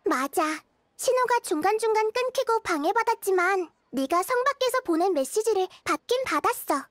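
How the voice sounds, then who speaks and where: Childlike girl deity for a game: very high voice, calm, measured, wise, music behind. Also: dry recording, studio quality. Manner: measured, explanatory. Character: small young goddess girl, calm, wise, thoughtful. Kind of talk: Korean game voice acting.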